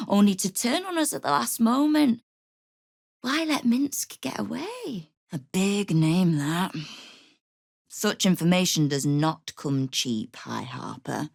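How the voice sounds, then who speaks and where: Game role: hard-bitten female guild boss. Low voice, sardonic and in command, very dry studio sound. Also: gravelly, breathy edge, no room sound, full character voice. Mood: accusing, then guarded. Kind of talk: video game character dialogue.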